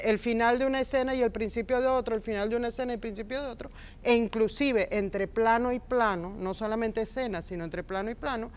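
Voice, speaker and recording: Passionate woman, smooth clear voice. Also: fairly high pitch. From Argentina, raised in Venezuela. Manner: warm, passionate, emphatic repetitions. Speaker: film script supervisor, guest. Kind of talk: interview conversation.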